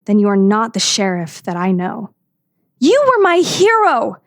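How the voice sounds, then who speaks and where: A woman's voice: medium pitch, huge pitch swings, measured pace, dry recording, studio quality. A woman playing an earnest, defiant, naive young woman in a radio drama. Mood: defiant, determined.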